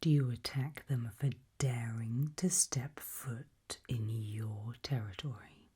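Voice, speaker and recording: British lady whispering asmr roleplay, super breathy, low and slow, leaves long gaps between questions. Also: fairly even intonation, dark tone. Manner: slow, deliberate, lingering questions with long pauses.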